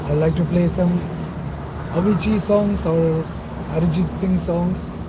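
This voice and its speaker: A young man with a husky, dry voice gives rambling, self-correcting commentary while driving through a city at night. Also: high voice, fairly even intonation, measured pace. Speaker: vlogger. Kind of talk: casual narrated drive vlog. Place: in a car on a city road at night. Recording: loud road and car noise. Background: traffic and engine noise.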